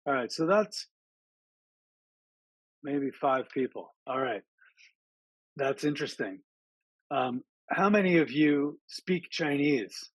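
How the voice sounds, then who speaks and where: A man's voice: mid-range voice, takes it slowly, long pauses, no room sound, poor audio. Man, friendly chatty presenter, video call mic, dry close sound, many ums. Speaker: speaker at an online town hall. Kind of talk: casual presentation to an audience.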